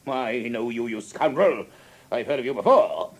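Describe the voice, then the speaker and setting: An actor playing a burly, violent-tempered English doctor who blusters and threatens, in a radio drama. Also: male voice, medium pitch, expressive, normal speed. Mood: threatening, contemptuous.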